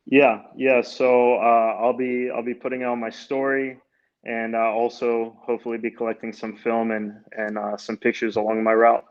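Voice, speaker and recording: A young man in his twenties, Greek his first language, talks in a relaxed, hesitant way with lots of restarts. His pitch stays level and narrow, and the video-call audio sounds dull and lo-fi. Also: normal speed. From United States. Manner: easygoing, hesitant with many restarts. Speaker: guest, amateur runner and goalkeeper. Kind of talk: casual interview answers.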